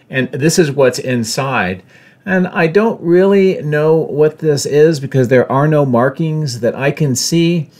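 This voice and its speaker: A man in his fifties giving a measured, matter-of-fact explanatory narration as a drone vlogger. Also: mid-range voice. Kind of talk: explanatory site-update narration.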